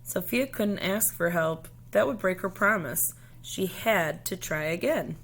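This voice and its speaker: A woman reading a children's storybook aloud in a deliberate, expressive way. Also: fairly low pitch, gravelly.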